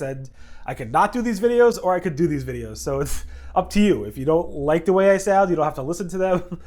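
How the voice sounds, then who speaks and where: A man's voice: fairly high pitch, darkish tone. Congested man hosting a news recap. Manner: rambling, self-correcting, quick asides. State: congested from a cold. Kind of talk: casual video news recap.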